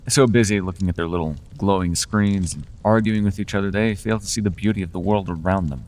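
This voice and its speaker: A man voicing a charming, manipulative male fey lord in an ASMR roleplay, speaking in a silky, coaxing, teasing way. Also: low-pitched. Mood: welcoming. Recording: long reverb.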